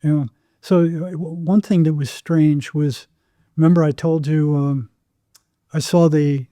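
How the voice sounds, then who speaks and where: A man talks as a podcast guest in a very dark, mellow voice that sits a little higher than average. The sound is close and dry, and he explains in anecdotes, leaning on repeated phrases for emphasis. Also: fairly even intonation, a little slow, no room sound. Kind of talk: anecdotal interview talk.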